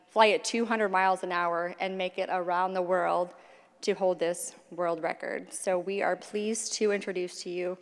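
A woman giving a measured, formal introduction of a guest speaker on a school auditorium stage, holding a handheld mic. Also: on the low side, fairly even intonation. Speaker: event host introducing the speaker. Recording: echoey auditorium reverb.

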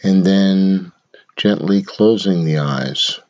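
Slow, low-voiced man guiding meditation. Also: a little mellow, lo-fi sound. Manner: measured, instructional, short phrases with long pauses. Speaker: meditation guide. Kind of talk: guided meditation.

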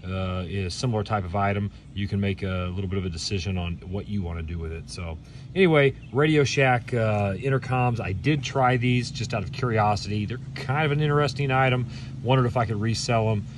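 A man giving casual, plain-spoken hands-on commentary, unhurried and halting as he works through a teardown. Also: lowish voice. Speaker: video host, hobby scrapper. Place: outdoors on pavement. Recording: distant mic, outdoor background noise.

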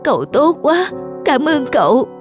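A woman playing an anxious, emotional young adult daughter in a cartoon, fretful and halting. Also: high-pitched, big pitch swings. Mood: remorseful, grateful. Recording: background guitar music over dialogue.

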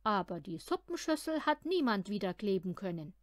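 A woman reads a children's story aloud in an expressive storytelling style. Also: mid-range voice, normal speed, no echo, studio quality. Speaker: audiobook reader of children's stories.

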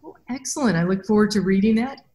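A woman in her fifties hosting a podcast interview over a video call, asking measured questions in a soft voice. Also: mid-range voice, lively intonation. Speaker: podcast host. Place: plain room, indoors. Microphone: webcam mic on video call. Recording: roomy video call audio.

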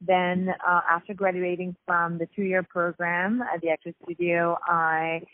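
Low-voiced French woman on a phone line. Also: level tone, dry recording. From Paris, France. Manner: measured, explanatory, many fillers. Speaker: festival founder, guest. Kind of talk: phone interview. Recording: tinny phone line, dry.

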